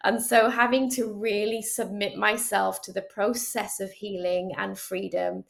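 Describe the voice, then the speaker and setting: A woman's voice: mid-range voice, level tone, measured pace, vocal fry. A woman guest speaking earnestly about faith and healing, often restarting phrases as she thinks aloud. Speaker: guest, charity chief executive. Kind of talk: reflective faith conversation.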